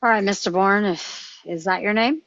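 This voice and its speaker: A woman's voice: mid-range voice, normal speed, fairly bright tone, dry recording, lo-fi sound, natural voice. A woman playing a cocky young American male field officer in a film script table read, casual and offhand with authority. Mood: officious.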